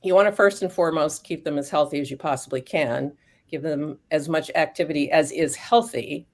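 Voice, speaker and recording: Low gravelly-voiced woman explaining. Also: fairly quick. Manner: thoughtful, explains research, frequent ums and restarts. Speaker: guest expert on canine cognitive decline. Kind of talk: casual explanatory conversation.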